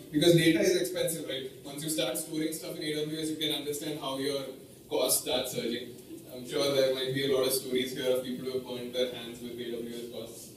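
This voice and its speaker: Echoey, distant Indian-accented man presenting. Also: medium pitch. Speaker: tech speaker. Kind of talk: meetup presentation. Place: indoor meeting room, standing by a projection screen. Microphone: picked up from a distance. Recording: very echoey room, distant mic, faint noise.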